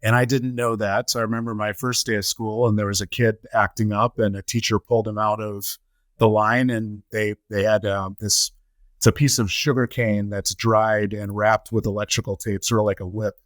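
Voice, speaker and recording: Low-voiced man telling his story slowly. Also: level tone, no room sound. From United States. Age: 40s to 50s. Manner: measured, many filler "uh"s and "um"s. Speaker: podcast guest, nonprofit CEO. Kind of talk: reflective interview answers.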